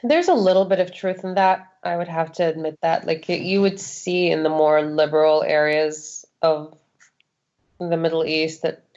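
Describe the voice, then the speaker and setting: A woman from Saudi Arabia with a lowish voice, speaking as a guest in an interview. She is candid and emphatic, repeating key phrases to drive them home. The recording is slightly dull and lo-fi. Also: darkish tone. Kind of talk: candid personal testimony. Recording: dull, lo-fi sound.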